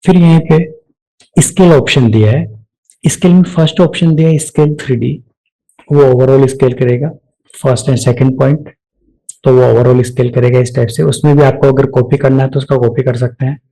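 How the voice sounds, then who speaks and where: Gravelly Indian man teaching software. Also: medium pitch, steady tone, normal speed, poor audio. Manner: explanatory, demonstrating each step aloud. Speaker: software instructor. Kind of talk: step-by-step screen-recorded software tutorial.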